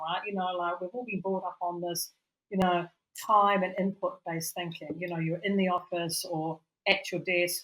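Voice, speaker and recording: A woman's voice: lowish voice, a bit bright, rough recording. A woman co-hosting a casual chat as a coach, talking reflectively and rambling, with many "you know"s and "like"s, over a remote call. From Europe. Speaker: podcast co-host and coach.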